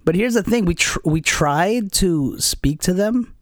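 A man's voice: sits high, animated, measured pace, no echo, studio quality. A man chatting casually as a guest, candid and rambling, poking fun at himself. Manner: candid, rambling, self-deprecating. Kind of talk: casual conversation.

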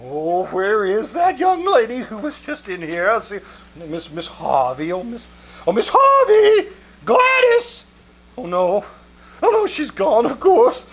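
A man playing a flustered, excitable rental agent who stammers and repeats himself in an old-time radio comedy. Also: sits high, a little slow, a little hiss or hum, full character voice.

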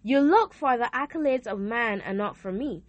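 A young woman hosting a faith talk show and sharing a personal testimony. She speaks earnestly, often repeats herself and restarts her sentences, and her voice sounds a little hoarse. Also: on the high side, lively intonation, moderate pace, lo-fi sound. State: hoarse from a sore throat. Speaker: podcast host.

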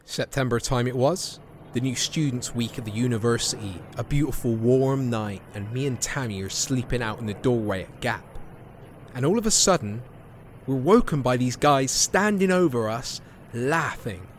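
A man's voice: medium pitch, normal speed, slight background noise, close to an everyday voice. An actor playing a weary, bitter young homeless man with a British accent in an audio play. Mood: indignant storytelling. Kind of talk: audio play monologue and dialogue.

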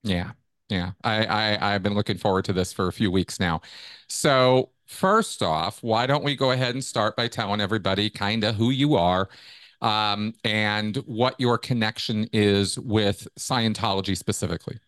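A man's voice: fairly low pitch, normal speed, a bit bright, no echo, studio quality. A man hosting a casual interview podcast, talking in a loose, rambling way with frequent restarts. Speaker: podcast host.

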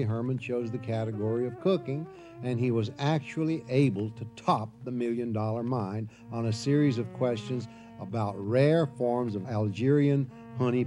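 A mellow-voiced actor as a male storyteller for a radio comedy, wry and confiding. Music plays softly behind the narration. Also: mid-range voice, close to an everyday voice.

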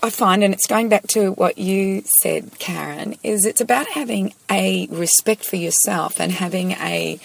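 Raspy, creaky-voiced woman hosting. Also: mid-range voice, measured pace, few pauses, poor audio. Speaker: panel host. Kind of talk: casual discussion, reading out and commenting on tips.

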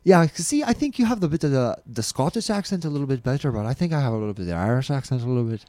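A man's voice: mid-range voice, expressive, very fast, mellow, no echo. A young man co-hosting a casual podcast, tipsy from scotch, rambling and swearing freely. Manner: rambling, swears freely, does silly voices. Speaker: podcast co-host. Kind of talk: casual chat over drinks. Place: indoor room.

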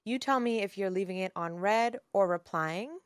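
A woman's voice: mid-range voice, normal speed. A woman in her twenties hosting a podcast, explaining things frankly in casual chat. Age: 20s. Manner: frank, explains the game rules. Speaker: podcast host.

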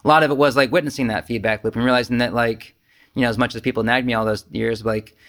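A man's voice: mid-range voice, fairly even intonation, quick pace, a bit bright. A man leading a casual spiritual discussion, speaking informally with self-deprecating anecdotes. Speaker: Zen group discussion leader.

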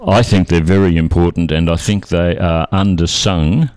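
Very deep-voiced older Australian man. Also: fairly even intonation, moderate pace, darkish tone, clean tone, no echo. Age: 70s. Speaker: interview guest, retired air traffic controller. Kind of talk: conversational reminiscence.

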